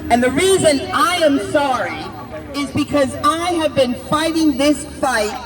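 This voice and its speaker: Older woman, outdoor rally speech, slow deliberate delivery, shouted emphasis, distant mic, echo, crowd noise. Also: high voice, lively intonation. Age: 60s. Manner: declamatory, drawn-out phrases with shouted emphasis. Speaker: rally speaker. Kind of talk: impassioned protest speech. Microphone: unamplified, distant camera.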